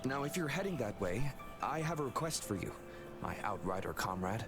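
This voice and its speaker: A man's voice: mid-range voice, sing-song, moderate pace. A man playing a dutiful soldier in a video game, firm, businesslike and comradely. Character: dutiful male soldier of a night ranger unit. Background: loud game music.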